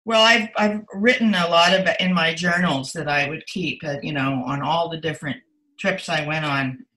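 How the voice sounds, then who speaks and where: A woman's voice: low voice, moderate pace, sharp tone, low-quality recording. An older woman giving reflective, earnest answers as a guest in a conversational interview, repeating words for emphasis.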